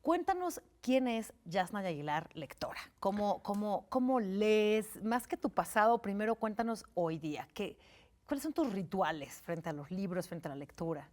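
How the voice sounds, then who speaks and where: A woman from Mexico hosting a cultural TV program, interviewing her guest on a studio set with admiring, slightly halting questions. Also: medium pitch, lively intonation, darkish tone. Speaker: TV show host.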